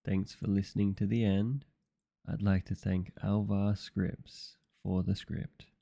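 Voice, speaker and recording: A man's voice: lowish voice, level tone, a little slow, gravelly, warm tone, no echo, studio quality. A man, a voice actor, casually addressing his listeners in an outro.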